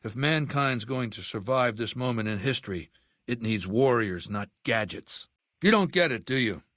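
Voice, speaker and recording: An actor playing a ruthless warlord in a video game, speaking in a commanding, deliberate and coldly sardonic manner. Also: male voice, medium pitch, animated, fairly bright tone, no room sound, clean studio sound, lightly acted. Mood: lecturing. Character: ruthless, imperious male warlord commanding a legion. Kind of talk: video game character dialogue.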